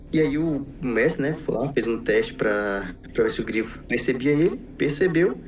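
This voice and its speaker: A man's voice: a little high, a little mellow, far from the mic. A man with a Brazilian accent casually telling anecdotes on a podcast, repeating phrases as he goes. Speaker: podcast speaker. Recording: dull lo-fi sound, off-mic.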